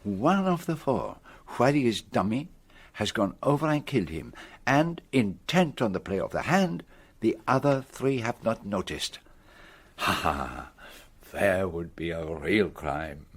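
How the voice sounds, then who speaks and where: An ageing Belgian gentleman detective in a radio play, his voice low and his French-speaking Belgian accent unmistakable. He is vain and exact, laying out each phrase with deliberate theatrical care. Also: animated, slightly rough, full character voice. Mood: wry, relishing. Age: older. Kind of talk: radio drama acting.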